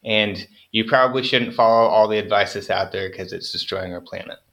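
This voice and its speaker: A man's voice: lowish voice, brisk, fairly bright tone. A young man, a farmer guest on an interview, chatting casually with lots of fillers and self-deprecating jokes.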